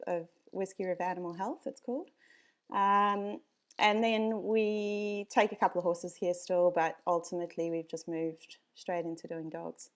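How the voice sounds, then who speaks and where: A woman with a South African accent, a dog breeder chatting casually as a podcast guest, with frequent ums and easy laughs. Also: lowish voice.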